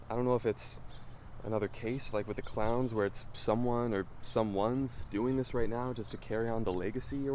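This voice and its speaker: A low-pitched American man acting as a determined amateur investigator in an audio drama. He reasons aloud, earnest and curious, with some background noise on the recording. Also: a little mellow, natural voice. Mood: earnest, resolute. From Los Angeles, United States. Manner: thoughtful, earnest, questioning. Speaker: investigative podcast host. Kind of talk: naturalistic audio drama dialogue.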